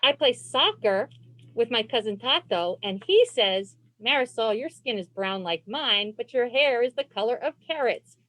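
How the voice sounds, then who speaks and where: A woman reading a children's storybook aloud as host, phrasing expressively and deliberately for young listeners on a video call. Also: a little high, expressive, fairly bright tone. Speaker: librarian and read-aloud host.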